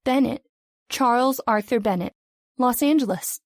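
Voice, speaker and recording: A woman's voice: a little high, normal speed, no room sound, studio quality, lightly acted. A woman playing an efficient hospital switchboard operator in a radio drama, businesslike and clipped. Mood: brisk, businesslike. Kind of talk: old-time radio drama acting.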